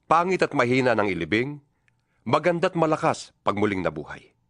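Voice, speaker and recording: A man's voice: medium pitch, very animated, normal speed, a bit bright, dry recording, clean studio sound. A man with a Filipino accent playing an apostle exhorting fellow believers in an emphatic, oratorical dramatized Bible reading. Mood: solemn, emphatic. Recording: music bed behind the voice.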